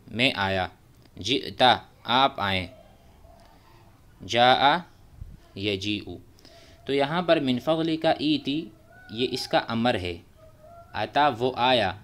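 A man's voice: mid-range voice, fairly bright tone. A man teaching spoken Arabic, who repeats each Arabic phrase and then explains it in Urdu. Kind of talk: slow explanatory lesson. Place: voice-over on a screen-recorded whiteboard.

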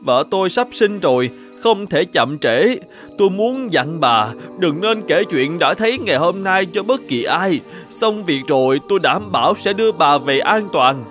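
A man voicing a humble village husband in an animated folktale, deferential and pleading in manner. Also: high-pitched, moderate pace, faint music behind. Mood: earnest.

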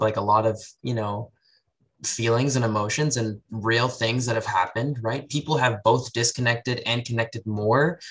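A man's voice: mid-range voice, low-quality recording. A man moderating a casual online panel discussion over a headset, reflective and affirming toward the others. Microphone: headset on a video call.

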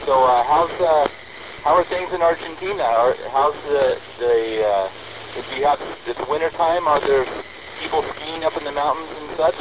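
A man's voice: a little high, moderate pace. An American man chatting casually and easily as an amateur radio operator, heard through a radio transmission. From United States. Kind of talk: casual chat over ham radio. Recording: noisy radio link, engine hum.

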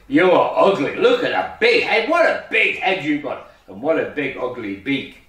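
A man's voice: mid-range voice, sing-song, a bit bright. A man voicing a rejecting father duck, blunt and scornful, in a children's story. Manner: blunt, emphatic, drawn-out insults. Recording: echoey room, distant microphone.

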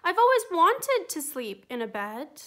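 A woman's voice: very high voice, sing-song, unhurried, fairly bright tone, voice pushed up, heavily acted. A woman voicing a small toy teddy bear, wide-eyed and wondering.

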